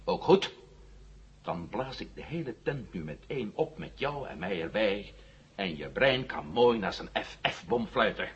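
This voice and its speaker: An actor playing a defiant armed space hero, mocking and menacing with false friendliness, in a vintage science-fiction radio drama. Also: male voice, lowish voice, very animated, a little slow, slightly rough, full character voice. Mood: sardonic, threatening. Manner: sardonic, menacing, mock-friendly. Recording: slightly noisy, dull old radio recording.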